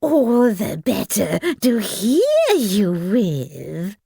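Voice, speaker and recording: A woman voicing a sly, charming male storybook wolf with a smooth, coaxing, falsely polite manner. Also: medium pitch, wildly swooping pitch, drawn-out words, dark tone, no room sound, clean studio sound, full character voice. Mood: hungry, menacing.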